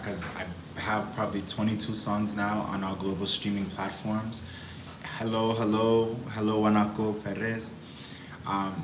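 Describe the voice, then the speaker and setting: A man live streaming, reflecting on his music career and greeting viewers by name. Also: lowish voice. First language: English. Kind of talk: casual live stream chat. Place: indoors in Cali, Colombia. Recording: roomy with clear background noise.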